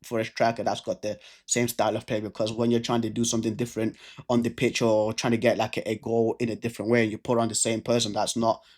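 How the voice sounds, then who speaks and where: A man's voice: on the low side, flat delivery, quick pace, darkish tone. A man with a stammer who repeats words and restarts, casually talking viewers through a football stats breakdown. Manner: chatty, speaks straight to viewers. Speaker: football video creator.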